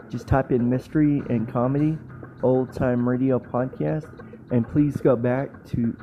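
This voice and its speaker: A male podcast host gives a casual, appreciative sign-off. His pitch is average and the sound is a little dull, with faint plucked guitar music underneath. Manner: appreciative, informal.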